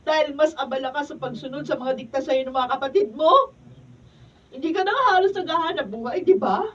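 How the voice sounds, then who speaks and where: A woman's voice: high voice, expressive, a little fast, fairly bright tone. A woman with a Filipino accent playing a long-suffering, outspoken pregnant wife in an emotional radio drama. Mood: bitter. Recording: dull, distant radio-studio behind-the-scenes recording.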